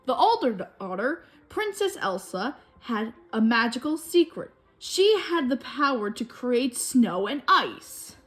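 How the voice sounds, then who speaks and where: A woman telling a children's story from a book in an expressive way. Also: on the high side, slow pace, hardly any pauses. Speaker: storybook reader.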